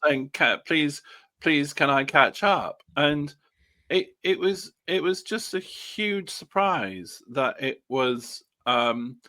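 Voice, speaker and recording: A man's voice: on the high side, expressive, slow, bright, forceful tone. A man chatting as a podcast panelist, hesitant and searching for his words. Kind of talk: casual discussion.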